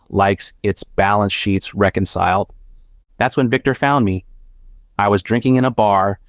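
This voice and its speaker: Deep voiced haunted ex analyst guy, played by a male actor, sardonic sci-fi audio drama monologue, very dry sound. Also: smooth, no room sound, close to an everyday voice. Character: haunted, sardonic former risk analyst cursed to see probabilities. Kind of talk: first-person dramatic audio fiction narration.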